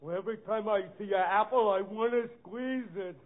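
An actor playing an odd, simple-minded drummer in a 1940s radio comedy. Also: male voice, high voice, brisk, lightly acted. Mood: dopey, earnest. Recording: faintly noisy, narrow-band vintage radio recording.

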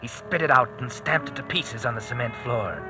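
Male narrator for old radio thriller: medium pitch, hard-boiled scripted storytelling, music underneath. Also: expressive, a little fast. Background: dramatic music.

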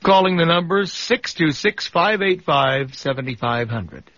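Radio cohost guy, bright voice, casual, dry studio sound bit muffled. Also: on the high side, animated, unhurried, no echo. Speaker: radio co-host. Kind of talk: casual live radio chat.

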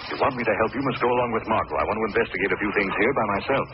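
An American actor playing a composed, authoritative gentleman sleuth in a 1940s radio drama, brisk and assured. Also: fairly low pitch, expressive, lightly acted. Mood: firm. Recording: muffled, noisy old radio recording.

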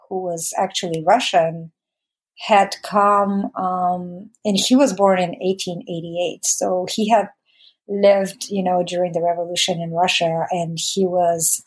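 A woman, recalling her life conversationally as an interview guest, in a hesitant, reflective way. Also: on the low side. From Bulgaria. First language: Bulgarian. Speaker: interview guest, documentary filmmaker. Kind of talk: conversational personal recollection.

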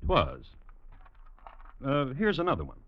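A man hosting and narrating old-time radio true crime in a deadpan, wry, deliberate way. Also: mid-range voice. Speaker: host and narrator. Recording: muffled old radio recording. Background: music.

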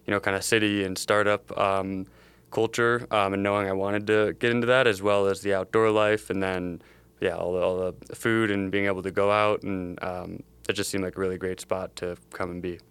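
A man's voice: low voice, level tone, normal speed, a bit bright, clean tone, no room sound. A young man from the Chicago area, a startup co-founder, answering interview questions in a thoughtful, meandering way. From Chicago area, USA. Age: young adult.

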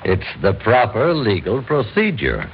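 An actor playing a reasonable, conciliatory committee conspirator who insists on procedure in an old-time radio drama. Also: male voice, on the low side, huge pitch swings, measured pace, exaggerated voice. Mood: reasoning. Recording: dull, noisy old radio recording.

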